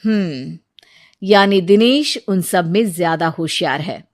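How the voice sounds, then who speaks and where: A woman voicing a composed, gracious queen with a regal, measured manner in a storybook tale. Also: on the low side, lively intonation, dry recording, studio quality. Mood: thoughtful. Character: composed, gracious queen testing young petitioners.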